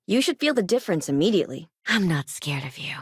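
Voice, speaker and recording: A woman's voice: fairly low pitch, big pitch swings, fast talker, husky, no room sound, exaggerated voice. An actress playing a no-nonsense, weary but caring woman doctor in a video game, speaking in a dry, conversational way. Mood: measured, wry. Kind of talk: scripted game dialogue lines.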